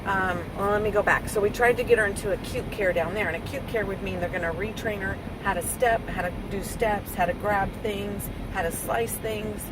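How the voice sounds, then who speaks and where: A middle-aged woman vlogging at home, a little hoarse and worn out, talking in a rambling, heartfelt way. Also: medium pitch, steady tone, fairly quick, hardly any pauses. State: hoarse, recovering from a lost voice. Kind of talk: casual personal update. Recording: loud background noise.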